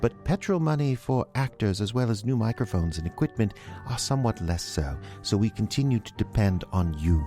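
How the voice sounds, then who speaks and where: Male actor as mannered British podcast host: low, animated, wry, a touch pompous. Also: a little fast, few pauses, natural voice. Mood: wry, theatrical. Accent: put-on British. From United States. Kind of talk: theatrical scripted narration. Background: music.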